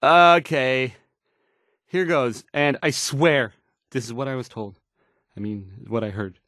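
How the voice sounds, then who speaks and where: A man's voice: mid-range voice, slow, a bit bright, no echo, clean studio sound. An actor playing an awkward young male radio newscaster in a video game, nervous and hesitant, stammering and trailing off. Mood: uncertain, flustered. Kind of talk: in-game radio news acting.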